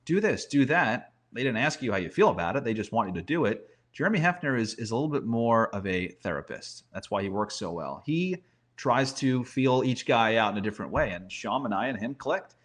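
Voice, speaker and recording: A man's voice: medium pitch, big pitch swings, fairly quick, a bit bright. A man, a sports broadcaster as podcast guest, talks through baseball analysis conversationally, often posing questions to himself.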